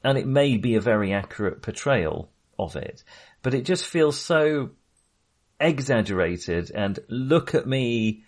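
A man co-hosting a casual film discussion, speaking in a halting, self-correcting way. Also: mid-range voice, expressive. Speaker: podcast co-host.

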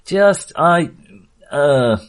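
A man co-hosting a film podcast in casual discussion, with a halting, hesitant and amused way of talking. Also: on the high side, expressive, clear, resonant tone.

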